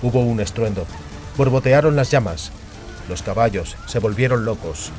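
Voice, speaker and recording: A man's voice: mid-range voice, expressive, moderate pace, darkish tone. A man narrating a fantasy audiobook in a scripted, dramatic storytelling style. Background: music.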